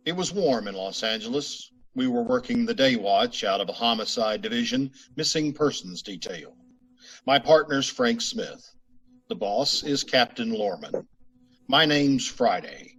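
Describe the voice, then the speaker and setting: A terse police detective sergeant acted by a man in a radio crime drama. He has a mid-pitched, slightly husky voice and talks in short, matter-of-fact statements. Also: expressive, a little slow, a bit bright, rough recording. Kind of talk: old-time radio police drama, clipped narration and dialogue.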